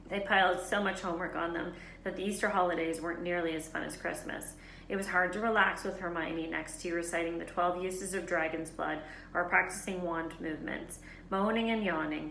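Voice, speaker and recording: A woman reading a children's novel aloud as a teacher, in expressive storybook narration. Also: lowish voice, gravelly. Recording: echoey room, faint background noise.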